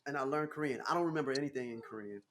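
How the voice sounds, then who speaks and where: An American man in his thirties, a guest musician telling stories in a casual interview, animated and laughing often. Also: rapid-fire, slight background noise. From United States. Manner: animated, laughing, jumps into high voice for quotes.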